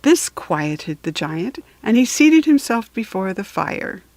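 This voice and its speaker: A woman reading a children's story aloud for beginning readers, measured and deliberate, with storytelling emphasis. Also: mid-range voice, big pitch swings, a little mellow, no room sound. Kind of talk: slow, careful read-aloud for beginning readers.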